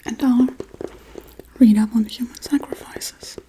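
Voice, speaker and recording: A woman playing a quirky, politely menacing Halloween witch in a breathy, soft-spoken ASMR role-play. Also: a little high, fairly even intonation, slight background noise, close to an everyday voice. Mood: matter-of-fact, chatty. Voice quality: breathy, mostly whispered. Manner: unhurried, chatty, politely menacing. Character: quirky Halloween witch casually preparing a human sacrifice.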